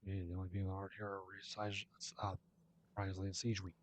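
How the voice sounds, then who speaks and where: A man giving casual, low-key gameplay commentary in short offhand asides. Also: monotone, a little fast, muffled recording, a little hiss or hum. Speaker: gamer commentator.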